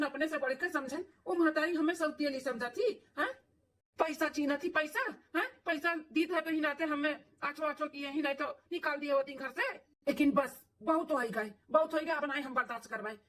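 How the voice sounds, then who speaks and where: A woman's voice: high voice, a bit bright, recorded from a distance. A woman voicing an aggrieved, protective village son and husband in a cartoon family drama, speaking emphatically with repeated phrases in an Awadhi accent. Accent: Awadhi, eastern Uttar Pradesh. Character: aggrieved village son, adult man, protective of his wife.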